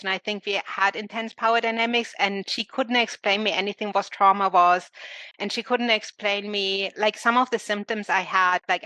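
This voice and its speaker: This is a woman telling her own story as a podcast guest. She speaks reflectively and often restarts her sentences. Her tone is bright and a little tense, and the recording is dry and close. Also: mid-range voice, no room sound. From Germany. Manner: reflective, searching for words, frequent restarts. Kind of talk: personal conversational storytelling.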